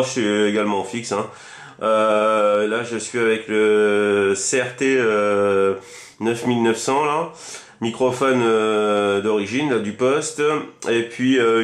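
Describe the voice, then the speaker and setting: A man in a casual CB radio chat, speaking in a rambling, repetitive way as a hobby operator. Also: lowish voice. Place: indoors at a fixed home radio station. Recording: roomy echo around the voice.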